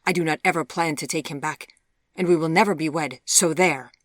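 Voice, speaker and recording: A woman's voice: low-pitched, a little fast, no echo, studio quality. Woman acting out a stubborn, haughty young lady. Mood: cold, defiant. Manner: formal, haughty. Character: stubborn, defiant young lady arguing with her mother.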